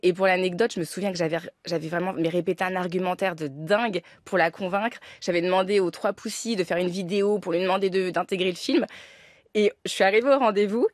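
A woman's voice: lowish voice, quick pace, no room sound. A woman in her thirties, a film director guest on a radio interview, talking with many restarts and fillers into a studio mic. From France. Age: 30s. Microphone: studio mic on arm.

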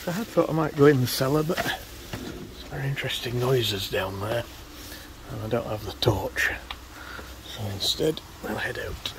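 Man, gravelly voice, rambling vlog chat, phone at arm's length, outdoor background noise. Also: medium pitch, fairly even intonation, moderate pace, long pauses. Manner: chatty, rambling with fillers. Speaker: urban explorer vlogger. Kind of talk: casual walk-through commentary. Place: outdoors by a canal path.

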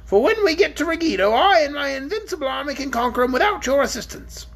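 Woman doing a haughty, shrill storybook queen. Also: big pitch swings, fairly quick. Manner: haughty, irritable. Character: haughty self-important queen.

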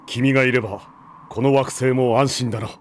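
An actor playing a stoic, noble giant robot warrior from space in an animated game cutscene, speaking gravely and deliberately. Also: male voice, mid-range voice, slight background noise, close to an everyday voice. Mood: solemn, concerned.

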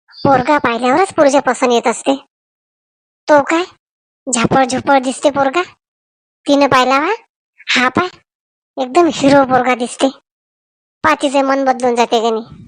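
A woman's voice: high voice, normal speed, pauses often, no room sound, rough recording. A woman voicing a nagging, insistent village mother in a family comedy cartoon. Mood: insistent, persuading. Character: bossy, nagging village mother arranging her daughter's wedding.

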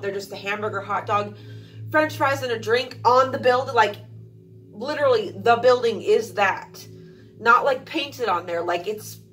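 A woman's voice: medium pitch, fairly bright tone, distant mic. A young woman from Alaska chatting casually to camera at home, rambling with many fillers. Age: young adult. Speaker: vlogger. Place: small room at home, indoors.

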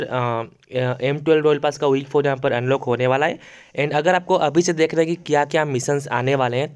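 A man's voice: medium pitch, clean tone. A man giving casual game update commentary as a YouTuber, explaining things repetitively with plenty of fillers. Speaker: gaming YouTuber. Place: voice-over on mobile game screen recording.

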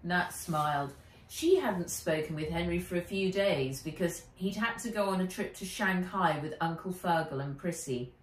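A middle-aged woman reading a children's book aloud as its author, with measured, animated storytelling. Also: lowish voice. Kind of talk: expressive children's audiobook-style narration. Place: living room by a window. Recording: roomy, distant home camera sound.

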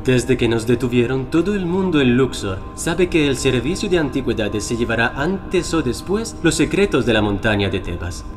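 An actor playing a terse, cautious Egyptian local guide in an audio drama. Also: male voice, mid-range voice, lively intonation, moderate pace, few pauses, music in the background, natural voice.

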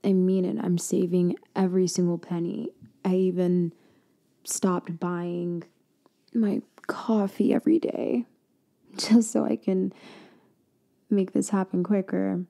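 A woman speaks slowly and softly, as in an intimate ASMR girlfriend roleplay, her voice lowish and edged with vocal fry. She drifts between a hushed murmur and a breathy whisper. She pauses, trails off and restarts her thoughts. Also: steady tone.

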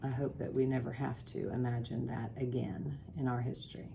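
A woman in her forties reading a children's picture book aloud in an expressive, deliberate way, pausing to put questions to listeners. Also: very deep voice, vocal fry, a little hiss or hum. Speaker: school principal, guest storybook reader. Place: small office, indoors.

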